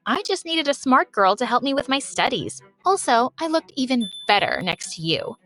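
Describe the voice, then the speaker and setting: A woman's voice: high-pitched, sing-song, moderate pace, bright, forceful tone, exaggerated voice. A woman voicing a spiteful teenage girl who taunts and sneers at a former friend. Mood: cruel.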